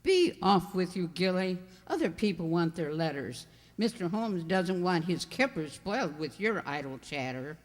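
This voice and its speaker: A woman's voice: lowish voice, big pitch swings, moderate pace. A woman playing a brusque, gossipy and disapproving village landlady in an amateur radio drama. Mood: scolding. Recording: long hall-like reverb.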